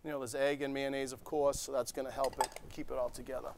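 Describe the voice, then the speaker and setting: A man's voice: medium pitch, level tone, quick pace, creaky, background noise. A man in his forties, a restaurant chef, walking through a recipe step by step in a TV studio kitchen, easygoing and checking in with "okay?". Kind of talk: cooking demonstration.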